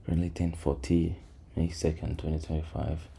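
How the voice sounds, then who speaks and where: Male trader for casual screen-share commentary: deep, flat delivery, low energy, hesitant, with clear background noise and keyboard sounds. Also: moderate pace. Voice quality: low, creaky voice. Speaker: trader recording a backtesting session. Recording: noisy room, keyboard and typing sounds.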